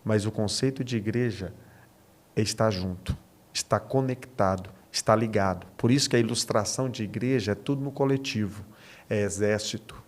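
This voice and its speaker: A man's voice: on the low side, normal speed. Brazilian man preaching conversationally, earnest and emphatic. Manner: earnest, emphatic, punctuates points with rhetorical questions and tag checks. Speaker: pastor, podcast guest. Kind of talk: conversational preaching with personal anecdotes.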